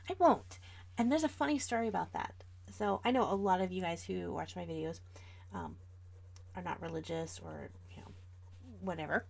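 Female livestream host, about 40, American. Casual, chatty delivery direct to viewers, noticeably creaky tone. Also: fairly low pitch, a little mellow. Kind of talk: casual livestream chat while drawing.

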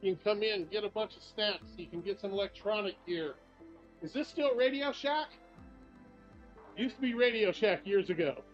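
Older male vlogger, upbeat and chatty walking-tour guide, fairly high voice, camera-mic sound with faint shop music. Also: moderate pace. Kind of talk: casual walking tour narration. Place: shops and streets of a small town, indoors and out. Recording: slightly dull sound, faint music behind.

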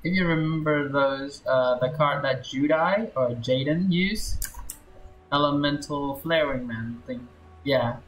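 A woman's voice: extremely low pitch, takes it slowly, a little hiss or hum, low-quality recording. A woman game streamer giving casual playthrough commentary.

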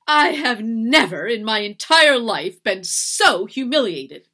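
A woman voicing a haughty, cruel mistress of a household in a storybook reading, imperious and given to shrill, emphatic outbursts. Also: big pitch swings, takes it slowly, sharp tone, heavily acted. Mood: scandalized, mocking. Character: haughty, cruel, raging slave-owning mistress.

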